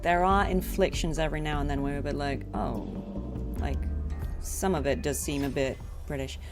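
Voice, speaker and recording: Female livestream host, lowish register, loose appreciative chatter over a clear music bed. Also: normal speed. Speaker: streamer and voice actress. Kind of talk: casual livestream chat.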